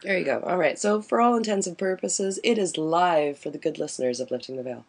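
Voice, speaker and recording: Gravelly-voiced woman hosting a radio chat. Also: a little fast. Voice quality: deep smoky voice. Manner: bantering, laughing easily. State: deep raspy voice after quitting smoking. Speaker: radio show host. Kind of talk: casual live radio chat.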